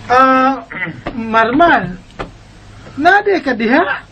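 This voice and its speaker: A woman's voice: sits high, very animated, drawn-out words, slight background noise, heavily acted. A woman playing a bossy, secretive mother. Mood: bossy warning. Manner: hushed, warning and bossy. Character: secretive, sharp-tongued young mother. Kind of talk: radio drama acting.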